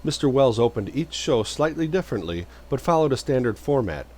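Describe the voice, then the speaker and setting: A man's voice: mid-range voice, big pitch swings, brisk, darkish tone. A man reading a scripted, informational narration.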